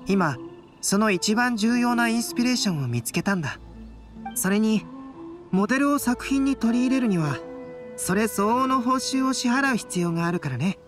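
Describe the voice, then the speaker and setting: A calm, thoughtful young artist-scholar from an anime game, acted by a woman. He speaks politely and analytically, with lively pitch movement, over faint music. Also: lightly acted. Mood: calm, assured. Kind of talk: anime game dialogue acting.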